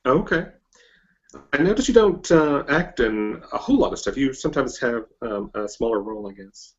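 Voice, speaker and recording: A man hosting a casual interview, speaking in a hesitant, halting way and often repeating himself. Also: medium pitch, animated, muffled recording. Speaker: podcast interviewer, host.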